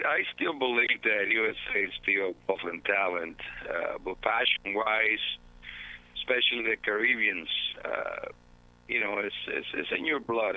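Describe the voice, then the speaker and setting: A man on a tinny phone line. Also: lowish voice. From Dominican Republic. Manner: earnest, reflective storytelling. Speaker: baseball manager guest. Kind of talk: phone interview. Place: at home.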